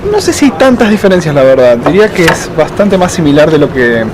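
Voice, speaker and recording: A man, answering interview questions slowly, with frequent fillers and pauses. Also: a little high, very animated. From Argentina. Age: 30s. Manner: thoughtful, hesitant, many fillers and slow pauses. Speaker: interviewee, doctoral student. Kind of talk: casual interview. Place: outdoors in a city. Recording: outdoor street noise.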